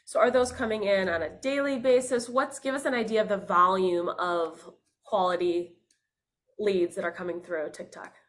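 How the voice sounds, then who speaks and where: A woman's voice: medium pitch, steady tone, moderate pace, a bit bright. A woman hosting an interview, asking questions and affirming her guest. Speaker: podcast host.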